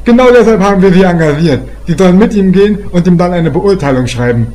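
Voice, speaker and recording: A man's voice: sits high, animated, quick pace, a little mellow. A man playing a brash, jovial, pushy police official in an amateur comedy audio drama, chummy and insistent. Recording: faint background noise.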